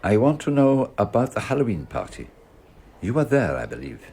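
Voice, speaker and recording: A man's voice: medium pitch, wildly swooping pitch, normal speed, vocal fry, dark tone, slightly rough, heavily acted. An actor playing a courteous male detective who questions formally and persistently in a radio mystery drama. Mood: polite, probing. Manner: polite, formal, probing questioner.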